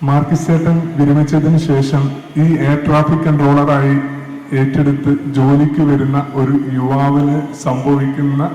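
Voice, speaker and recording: A man's voice: mid-range voice, warm tone. A man formally announcing a comedy skit to an audience from a stage. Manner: formal, declaiming. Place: stage in a large hall. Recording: echoey hall, faint noise.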